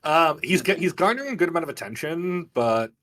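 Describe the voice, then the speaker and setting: A man running a tabletop game as game master, improvising narration in animated, conversational storytelling. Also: a little high, brisk.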